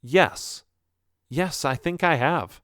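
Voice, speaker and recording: A man's voice: a little high, slow, a bit bright, no echo, studio quality, pitched above the performer's own voice. A man voicing an earnest, lovestruck young prince in a storybook, sincere and hesitant. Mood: hesitant, pleading.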